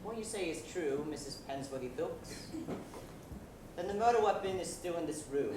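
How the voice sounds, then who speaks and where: Echoey, low-voiced pompous police inspector, woman-played. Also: lively intonation, normal speed, lightly acted. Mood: pompous accusing. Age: young adult. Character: dry, impatient police inspector in murder farce. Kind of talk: comedic student stage acting. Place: theatre stage. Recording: very echoey stage, distant, noisy.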